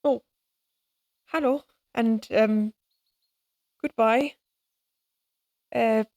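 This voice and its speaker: Brief: actress as lonely, sincere woman in audio drama; higher voice, very slow, hesitant delivery, wistful and fond. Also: fairly even intonation, darkish tone, dry recording, clean studio sound. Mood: flustered, sad. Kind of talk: dramatic audio roleplay acting.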